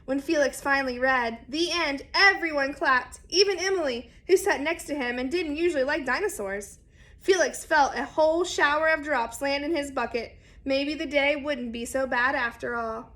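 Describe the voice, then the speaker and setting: A woman reading a children's picture book aloud expressively and deliberately, speaking straight to young listeners. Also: high voice, fairly bright tone. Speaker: read-aloud storyteller.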